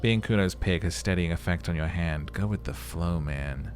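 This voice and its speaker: A man's voice: deep voice, normal speed, faint music behind. A man hosting a let's-play, reading game text as a narrator and adding casual commentary.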